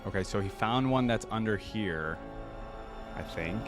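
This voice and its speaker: A man's voice: on the low side, animated, measured pace. A man hosting a reaction video, commenting casually and thinking aloud with lots of questions as he watches. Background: music from the watched video.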